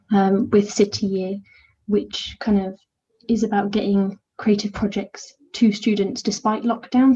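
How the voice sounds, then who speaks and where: A young woman with a soft, soothing voice and a mellow, warm tone talks in a quiet, introverted way. She answers casually and hesitantly, filling gaps with many small fillers. The sound is dull and lo-fi, like audio from a video call. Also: mid-range voice, slight background noise. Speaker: volunteer mentor.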